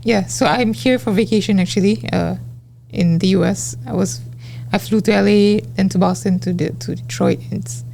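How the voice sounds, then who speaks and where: A man's voice: high-pitched, normal speed, gravelly, darkish tone, a little hiss or hum. A 27-year-old man, a podcast guest talking in an easygoing, hesitant way with many fillers. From Singapore. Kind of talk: casual interview chat.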